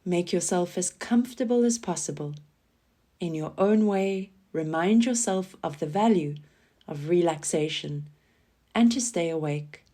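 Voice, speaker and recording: A woman leading a scripted guided relaxation meditation in a calm, soothing manner, giving gentle instructions with pauses. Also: on the low side. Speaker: yoga nidra guide.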